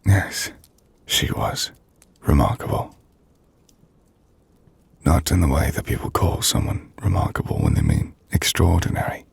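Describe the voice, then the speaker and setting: A man playing a melancholy, gentle half-vampire nobleman in an intimate ASMR roleplay, speaking in a hushed, breathy, formal and reflective manner. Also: wildly swooping pitch, dry recording, full character voice. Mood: fond, wistful. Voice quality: low, gravelly, breathy. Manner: hushed, breathy, slow reflective delivery with formal, measured phrasing. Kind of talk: ASMR audio roleplay, intimate dramatic monologue.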